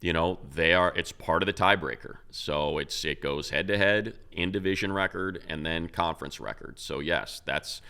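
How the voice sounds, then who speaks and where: Deep gravelly man in sports chat. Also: moderate pace, few pauses, bright, forceful tone. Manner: loose, rambling, many restarts and fillers. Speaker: co-host, radio play-by-play announcer. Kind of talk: casual sports talk.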